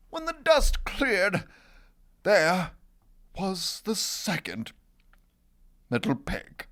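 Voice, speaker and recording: Sly folksy storybook wolf, played by a man: wildly swooping pitch, slow drawn-out delivery, theatrical and confiding, all wronged innocence. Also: gravelly, a bit bright, heavily acted. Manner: theatrical, drawn-out, conspiratorial asides with chuckles. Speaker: storybook narrator in character. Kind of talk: animated children's read-aloud.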